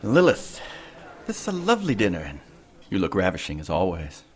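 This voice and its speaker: An actor playing a suave, worldly, flirtatious monsignor with a courtly, formal manner in an audio drama. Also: male voice, low-pitched, wildly swooping pitch, a little fast.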